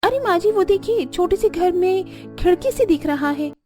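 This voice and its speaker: A woman acts as a gushing young bride in a cartoon story. The voice is very high and swings up and down with wide-eyed excitement. Music plays underneath. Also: moderate pace. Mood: curious, shocked. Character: excitable young modern new bride, easily impressed and shocked. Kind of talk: cartoon story dialogue acting.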